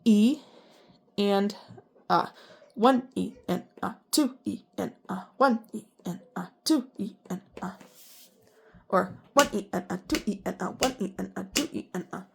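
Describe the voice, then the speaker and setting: A woman music teacher with a congested voice, explaining rhythm reading and counting beats aloud in strict, even syllables. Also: mid-range voice, a little slow, creaky, noisy background. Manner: chatty and self-deprecating between counts.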